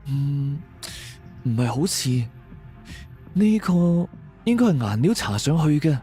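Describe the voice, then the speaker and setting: A man playing a calm, perceptive investigator in an audio drama, explaining things in a measured way. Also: medium pitch. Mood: pondering. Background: background music.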